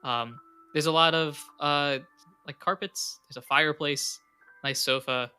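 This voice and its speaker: Bright-voiced young game master. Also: male voice, fairly high pitch, fairly even intonation, measured pace, clear, resonant tone, faint music behind. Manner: casual, filler-heavy narration. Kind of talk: narrating a tabletop game.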